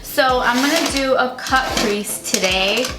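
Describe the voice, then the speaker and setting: A young woman in her twenties talks casually while doing her makeup, her voice slightly high for a woman. She chats freely and fills her sentences with "like." The room rings a little, and soft music plays underneath. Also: a little slow. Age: 20s. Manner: chatty, uses "like" often. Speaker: beauty vlogger. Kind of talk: casual makeup tutorial chat. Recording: echoey room with music behind.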